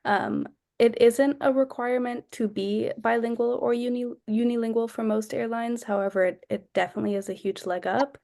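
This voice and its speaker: Young woman, gravelly fry, chatty panelist, lots of ums, video call. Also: on the high side, steady tone, moderate pace, dark tone. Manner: chatty, many ums and restarts. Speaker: panelist, airline customer service agent. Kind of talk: casual panel talk.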